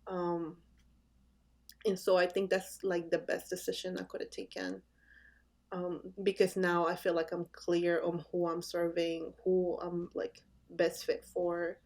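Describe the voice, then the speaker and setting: A woman brand designer answering interview questions casually, pausing often with "um" as she thinks. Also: fairly low pitch, completely flat delivery, darkish tone. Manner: hesitant, with many "um" pauses.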